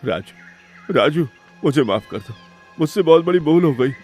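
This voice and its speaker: A man's voice: fairly high pitch, animated, measured pace, darkish tone, faint music behind. A man voicing a greedy, guilt-ridden elder brother in a cartoon moral story, with a dramatic, agitated, imploring delivery. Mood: remorseful, pleading.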